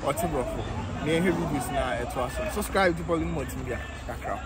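A man's voice: a little high, fast talker, loud music behind the voice. A man casually rambling to camera outdoors, asking viewers to comment and subscribe. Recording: outdoor crowd noise.

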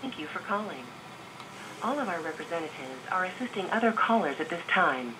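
A woman's voice: on the low side, big pitch swings, brisk. A woman reading a scripted hold-queue greeting as a phone system announcer, played through a phone speaker. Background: clear background noise.

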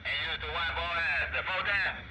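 A man's fairly high voice comes through a CB radio buried in heavy static. He chats casually in slangy, repetitive bursts, punctuating his phrases with "man.". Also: normal speed. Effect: CB radio transmission. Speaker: CB radio operator. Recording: very noisy, static-laden CB radio signal.

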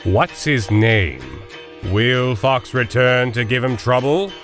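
A man's voice: mid-range voice, slow, fairly bright tone. A man narrating a cartoon serial in an announcer manner. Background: music.

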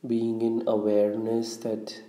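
A man leading a guided visualization, giving instructions in a deliberate, measured way with long pauses. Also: on the low side, completely flat delivery, dark tone. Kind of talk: guided meditation, slow instructional narration. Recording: roomy, echoing room sound.

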